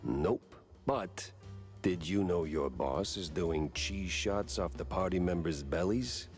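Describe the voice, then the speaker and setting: Very deep weary noir detective. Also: male voice, slow pace, faint music behind. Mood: wry. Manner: deadpan, wry. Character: world-weary, sardonic hardboiled mouse detective, war veteran. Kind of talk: 1930s noir cartoon game acting and narration.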